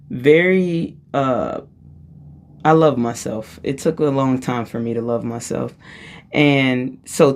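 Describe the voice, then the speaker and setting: Low-voiced woman telling a rambling story. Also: a little mellow. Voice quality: low-register voice. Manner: rambling, self-interrupting, laughs often mid-sentence. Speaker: vlogger. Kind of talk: casual personal storytelling.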